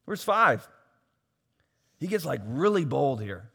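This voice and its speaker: A pastor preaching a sermon, losing his voice and swinging from soft asides to loud, emphatic points. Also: on the high side, big pitch swings, slow pace, gravelly, slightly rough. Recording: long hall reverb.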